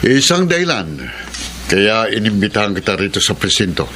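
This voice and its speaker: An actor playing a calm, firm police investigator who lays out evidence in a radio drama. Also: male voice, lowish voice, background noise. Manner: measured, firm. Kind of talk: 1990s radio drama acting.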